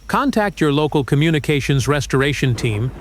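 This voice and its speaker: A man's voice: on the high side, expressive, fairly quick, lightly acted. A man playing a formal rebel network announcer reading a scripted station message in an audio drama. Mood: formal, official.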